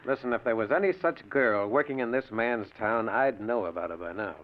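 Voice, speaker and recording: A man's voice: mid-range voice, brisk, slight background noise, close to an everyday voice. A man playing a confident club boss with underworld connections, casual and slangy, in 1940s radio crime drama style. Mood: genial. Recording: tinny 1940s radio recording.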